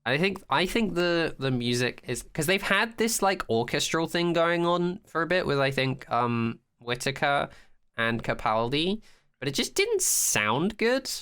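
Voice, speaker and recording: A podcast host chatting casually about a TV show in an animated, sweary way, often acting out quotes and sounds. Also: mid-range voice, a little slow, clean tone. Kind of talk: casual chat, fan review of a TV episode.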